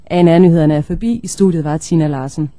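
Mellow, lower-voiced female newsreader. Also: faint music behind. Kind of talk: scripted radio news reading. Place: radio studio. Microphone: studio microphone.

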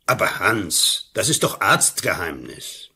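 A man's voice: low-pitched, very animated, takes it slowly, bright, forceful tone, slightly rough, heavily acted. A man playing a worried old doctor, earnest and hesitant, in a radio drama. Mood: reassuring. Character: worried old male doctor, loyal, flustered school friend. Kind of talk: German-language radio drama acting.

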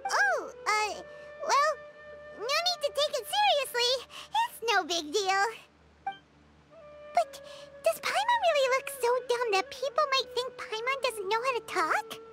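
A woman voicing a tiny, excitable, childlike fairy companion in a video game, squeaky and easily flustered. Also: a little slow, loud music behind the voice, exaggerated voice. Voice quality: squeaky, shrill. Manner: flustered, exclaiming, speaks of self in third person.

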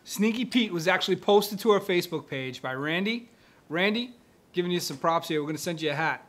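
A man's voice: high voice, expressive, fairly quick, fairly bright tone. A man in his forties co-hosting a beer show with casual, teasing banter. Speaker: beer review show co-host. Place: small indoor set with curtain backdrop, seated.

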